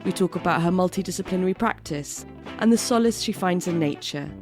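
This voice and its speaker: A woman with a West Yorkshire accent, hosting a podcast and reading her introduction in a soft, measured, earnest way. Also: on the low side, faint music behind. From England. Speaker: podcast host. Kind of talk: scripted podcast intro, then interview.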